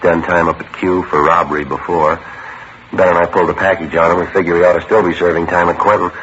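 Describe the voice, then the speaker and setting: An actor playing a terse police sergeant who narrates the case in a clipped, matter-of-fact way, in a 1950s radio crime drama. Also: male voice, deep voice, flat delivery, quick pace, a bit bright, slight background noise. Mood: reporting. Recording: dull old radio broadcast with music.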